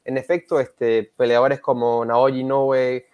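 A man's voice: mid-range voice, little pitch movement, a little mellow. A man co-hosting a boxing interview, summarizing the guest's answers and asking questions in two languages. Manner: summarizing, brisk. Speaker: co-host. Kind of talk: bilingual interview, translating.

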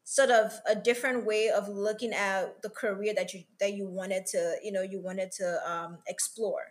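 A woman's voice: mid-range voice, fairly even intonation, normal speed. Chatty woman host, winding questions. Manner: long winding questions, frequent mm-hmms, fillers like "you know". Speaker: podcast host. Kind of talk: interview questions, affirming.

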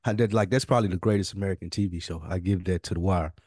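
A man's voice: sits low, rapid-fire, a little mellow, husky. A man co-hosting a podcast, chatting casually in slangy, informal language with some swearing. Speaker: podcast co-host.

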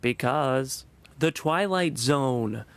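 A man's voice: medium pitch, animated, very slow, no echo. A man hosting a podcast in a conversational presenting style. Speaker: podcast host.

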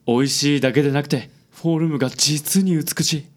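An actor playing a suave, pompous, gallant gentleman in a radio drama, with an affected, florid way of talking. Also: fairly high pitch, steady tone, fairly quick, lightly acted. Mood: smug, admiring. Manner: affected, florid, sprinkles English words. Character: suave, pompous handsome gentleman at detective agency, theatrical, gallant.

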